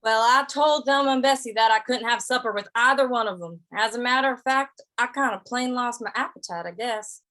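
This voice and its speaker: Woman as a plain-spoken cowpoke, bright forceful voice, slightly high, folksy, stubborn, old-time Western radio play. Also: brisk, few pauses, soft background music, close to an everyday voice. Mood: wry, weary narrating. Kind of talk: 1950s Western radio drama acting.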